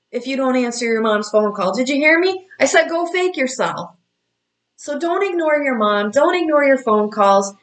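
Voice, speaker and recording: A woman in her fifties talks to her audience as an astrology coach. Her voice sits a little high, and she lectures in a blunt, scolding, motherly way. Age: 50s. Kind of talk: direct-to-camera advice talk.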